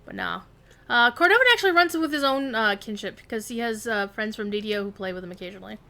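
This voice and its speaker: A woman's voice: mid-range voice, expressive, fast, sharp tone. An American woman hosting a livestream of an online game, chatting casually with viewers and fellow players. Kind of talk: casual live gaming chat.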